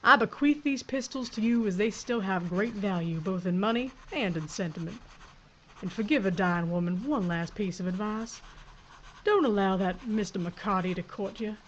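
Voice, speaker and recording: A woman's voice: mid-range voice, highs cut off, slight background noise, close to an everyday voice. A woman playing a tough frontier sheriff. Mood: reflective. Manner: brisk and commanding, orders in short lines. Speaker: narrator. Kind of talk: audio drama acting.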